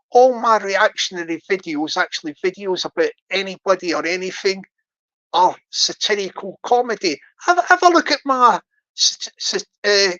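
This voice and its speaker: A man's voice: high-pitched, bright, forceful tone, highs cut off. An older man with a Scottish accent, hosting his own channel and chatting casually to viewers on a livestream, rambling and repeating words as he restarts his sentences. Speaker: YouTube channel host.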